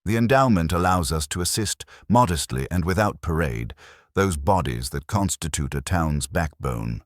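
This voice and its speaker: A man's voice: deep voice, lively intonation, normal speed, no echo, clean studio sound. A man voicing a prim, imperious older English widow who speaks in precise, formal aphorisms for an audio mystery drama. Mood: prim, composed.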